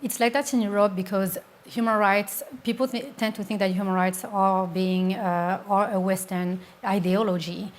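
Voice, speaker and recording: A woman's voice: mid-range voice, fairly even intonation, moderate pace, hardly any pauses. A woman from France speaking as a panelist, earnest and insistent. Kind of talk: panel discussion.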